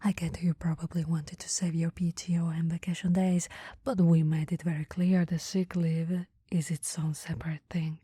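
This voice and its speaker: A woman acts out a caring female boss with a flirty, easily flustered streak in an intimate ASMR roleplay. The voice is very breathy and mellow, often slipping into a whisper. It sits close and dry on the microphone, with a gently teasing, hesitant delivery. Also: lowish voice, level tone, normal speed, dry recording. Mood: caring, matter-of-fact. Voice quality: breathy, soft.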